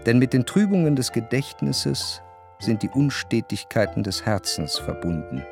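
Faint music sits behind a male narrator of a literary radio play, his voice at a middling pitch. He is introspective and grieving, setting out each phrase with measured deliberation. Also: sing-song, vocal fry. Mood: contemplative.

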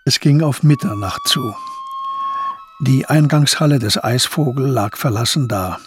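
Man, mid-pitched, scripted literary narration, measured, descriptive, radio drama, quiet music bed.

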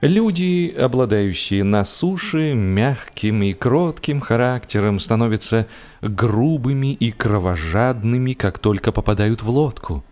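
A man narrating humorous literary prose in an expressive, measured storytelling manner with deliberate pauses. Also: mid-range voice. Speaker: audiobook narrator. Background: music.

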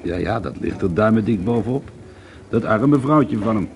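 Lively, forthright holidaymaker, noisy background. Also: male voice, lowish voice, moderate pace. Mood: wry, amused. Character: friendly, forthright male art teacher on holiday. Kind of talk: naturalistic radio drama acting.

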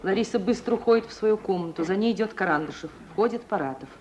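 Quick-speaking woman narrator, old radio. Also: mid-range voice. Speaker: narrator of stage directions. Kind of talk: scripted narration for radio drama. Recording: faintly noisy old radio recording.

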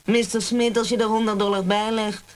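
A woman's voice: mid-range voice, level tone, brisk, close to an everyday voice. An actress playing a coy, money-minded prostitute in a comic radio play, teasing and mock-prim as she bargains. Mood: mock-prim, bargaining.

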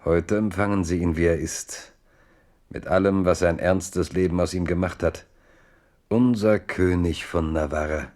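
An actor playing a solemn Huguenot chronicler, speaking in a poetic, declamatory way in a German radio play. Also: male voice, sits low, fairly even intonation, measured pace, darkish tone. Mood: solemn, moved. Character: solemn Huguenot townsman chronicler welcoming his king.

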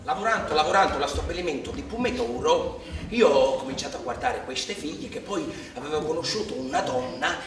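A man's voice: a little high, animated, a little fast, recorded from a distance, noisy background, lightly acted. An actor playing a naive, boastful young Sicilian farmhand, telling his life in earthy dialect as a theatrical stage monologue. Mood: confiding, sly. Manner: earthy, colloquial, ungrammatical dialect storytelling. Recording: echoey theatre stage from afar, music behind.

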